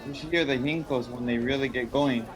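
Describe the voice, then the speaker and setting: A man's voice: medium pitch, steady tone, brisk, a crowd in the background, close to an everyday voice, rough recording. A man playing a wry, wisecracking, resigned husband in a radio drama, with a sardonic, joking delivery.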